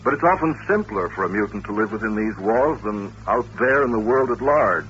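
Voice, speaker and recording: A patient, courteous doctor in an old radio play. He explains in a measured, polite way with a crisp, forward brightness. The sound is dull vintage radio with slight hiss. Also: lowish voice, animated. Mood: earnest, explanatory. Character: courteous, patient male doctor running a mutant institution. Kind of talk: old-time radio drama acting.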